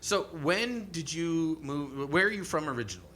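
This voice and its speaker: A man with a bright, forward voice hosts a live stream chat, rambling and swearing freely as he talks with his guest. A faint hum sits under the recording. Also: a little high, animated, normal speed. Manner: rambling, profane, laughs often. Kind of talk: casual interview chat.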